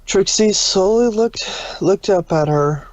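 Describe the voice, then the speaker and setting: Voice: mid-range voice, takes it slowly, no echo. A narrator reading a scripted fan fiction story aloud, stumbling now and then. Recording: dull, muffled dry audio.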